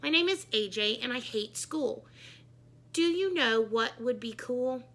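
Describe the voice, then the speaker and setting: A woman's voice: on the high side, animated, unhurried, a bit bright. A woman reading a children's book as a wisecracking schoolboy who hates school. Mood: sardonic, dreamy. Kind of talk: children's book read-aloud.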